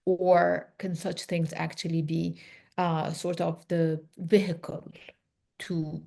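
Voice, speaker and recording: Low, gravelly woman moderating a webinar. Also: level tone, dark tone. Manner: measured, hedging questions with fillers. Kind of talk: interview questions on a webinar. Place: remote video call. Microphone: video call microphone.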